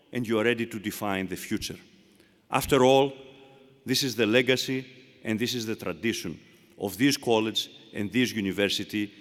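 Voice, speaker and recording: A man with a Greek accent delivers a formal commencement speech at a podium microphone, stressing key phrases and building his points with rhetorical repetition. His mid-pitched voice carries a long, booming reverb from the large indoor hall. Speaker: chancellor emeritus giving commencement address.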